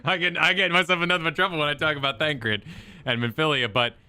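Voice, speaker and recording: A man's voice: a little high, steady tone, fast talker, sharp tone, clean tone, no room sound. A man chatting casually and jokingly as co-host of a game reaction stream. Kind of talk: casual chat commentary. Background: faint game music.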